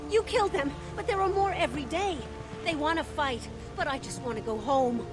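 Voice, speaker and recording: A high-pitched, frightened castaway woman, played by an actress for a video game. She speaks haltingly, dazed and muddled, like someone whose mind is slipping. Music plays clearly behind her. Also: natural voice. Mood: mournful. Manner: halting, dazed, repeating words. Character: confused, frightened female castaway with a decaying mind.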